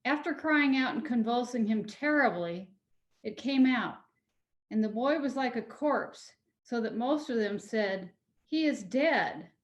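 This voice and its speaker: Higher-pitched woman reading scripture. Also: animated, measured pace. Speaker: scripture reader. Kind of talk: Bible reading. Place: at home, on a video call. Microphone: video call.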